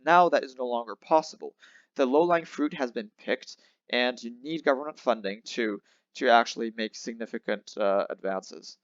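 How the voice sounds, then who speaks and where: A man's voice: mid-range voice, normal speed, no room sound, highs cut off. A man hosting a show who comments on a debate clip in a casual way, thinking aloud with fillers and restarts. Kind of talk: reaction commentary.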